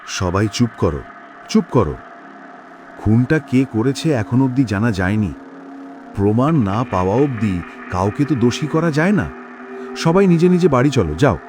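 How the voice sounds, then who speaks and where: A man's voice: mid-range voice, sing-song, normal speed, pauses often, darkish tone, faint music behind, voice pushed down, lightly acted. A man voicing a stern village headman who takes charge, in a cartoon story. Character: authoritative village headman calming a crowd. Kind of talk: cartoon horror story dialogue.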